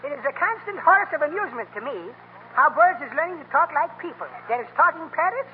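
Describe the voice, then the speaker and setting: A scratchy, narrow-band old radio comedy recording of a cheerful immigrant neighbor. His voice sits extremely high and swings up and down as he muddles words and grammar with happy confidence. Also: lively intonation, quick pace. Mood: cheerful rambling. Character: cheery Greek immigrant neighbor, jolly malaprop talker. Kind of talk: 1930s radio comedy acting. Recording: scratchy 1939 radio broadcast, tinny and noisy.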